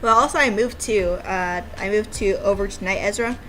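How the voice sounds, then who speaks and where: A woman's voice: mid-range voice, normal speed, a bit bright, a little hiss or hum, rough recording. A woman giving casual, playful and giggly commentary as she plays a game she made herself. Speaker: game developer playing her own game.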